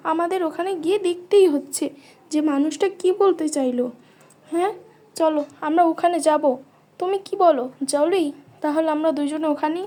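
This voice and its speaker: A woman's voice: high voice, mellow, lightly acted. A woman voicing a small brave bird in a cartoon story, earnest, insistent and pleading. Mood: curious, urgent. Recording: music under the voice. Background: music.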